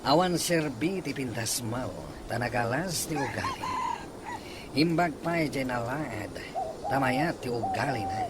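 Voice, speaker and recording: Lively, blunt young Ilocano man. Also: mid-range voice, a little slow, noisy background. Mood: scornful, angry. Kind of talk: Ilocano radio drama acting.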